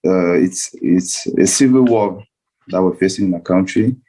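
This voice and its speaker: Male panelist, fairly low voice, earnest explanation with repeated phrases. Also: moderate pace, mellow, poor audio. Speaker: panel speaker.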